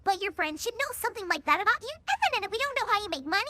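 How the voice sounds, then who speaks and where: A woman voicing an excitable, frantic young female cartoon pony in a dramatic, insistent way for a fan audio drama. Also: very high voice, rapid-fire, full character voice.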